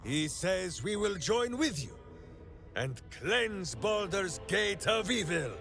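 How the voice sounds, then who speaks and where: A big-hearted, simple-minded warrior hero for a video game. He speaks slowly in a gravelly voice that swings widely in pitch, with earnest, grandiose declarations. Music plays behind him. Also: lively intonation, full character voice. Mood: rousing. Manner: grandiose, earnest third-person speech. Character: hearty, devoted, simple-minded heroic warrior man with beloved pet hamster. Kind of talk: theatrical video game voice acting.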